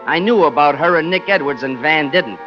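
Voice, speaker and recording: An actor playing a bitter, scheming former cab driver turned gag writer, slangy and wisecracking, in 1940s radio drama style. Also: male voice, a little high, a little fast, close to an everyday voice. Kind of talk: 1940s radio drama acting, first-person narration and dialogue. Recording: muffled old radio broadcast with music.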